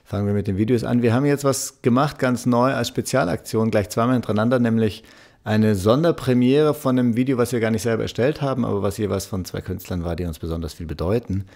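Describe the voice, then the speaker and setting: A man in his forties hosting a casual video news round-up in a relaxed, conversational way, pausing as he thinks. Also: fairly low pitch. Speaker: host and musician. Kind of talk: casual scripted-free video news presentation. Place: small wood-panelled room, indoors. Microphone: large studio condenser microphone close in front.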